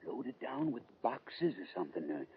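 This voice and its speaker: A man's voice: medium pitch, big pitch swings, normal speed, muffled recording, natural voice. A man playing a grumbling, wordy comic old cowboy sidekick in a radio western. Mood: nervous grumbling. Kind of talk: 1940s-50s radio western acting. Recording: thin, noisy old-time radio recording.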